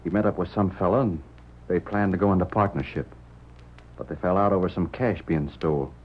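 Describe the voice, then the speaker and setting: An actor playing a self-doubting Old West rancher, folksy and hesitant, with an American Western accent in a radio drama. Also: male voice, low-pitched, a little fast, slightly rough. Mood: resigned, ashamed. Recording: muffled vintage radio drama.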